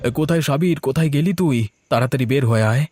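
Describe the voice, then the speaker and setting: Guy doing an earnest village big brother, cartoon drama, urgent, medium pitch, faint music behind. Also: quick pace, dark tone, no room sound, lightly acted. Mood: confronting. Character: bold, earnest village man, elder brother figure. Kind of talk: cartoon story voice acting.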